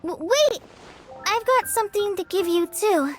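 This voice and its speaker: A woman's voice: very high voice, animated, measured pace, background noise. A woman playing a shy, grateful young girl apprentice in a video game, sweet and sincere. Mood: warm, sincere. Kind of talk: scripted game dialogue acting.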